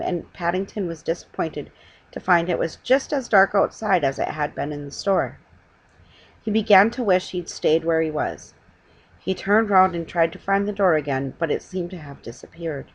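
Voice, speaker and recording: Husky middle-aged woman reading a storybook. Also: on the low side. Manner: halting reading with stumbles and self-corrections. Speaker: reader. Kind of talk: storybook read-aloud. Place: small living room at home, indoors. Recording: dull lo-fi webcam sound.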